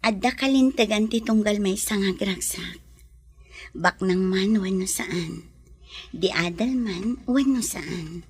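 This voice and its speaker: A woman playing a tender but resolute young lady in love in an audio drama, with a breathy, husky voice. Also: mid-range voice, takes it slowly.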